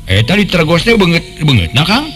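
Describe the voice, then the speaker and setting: A man voicing an excitable, prying youngest brother in a village radio tale, with colloquial questioning speech. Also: a little high, a little fast, voice pushed up. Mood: startled, prying. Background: faint music.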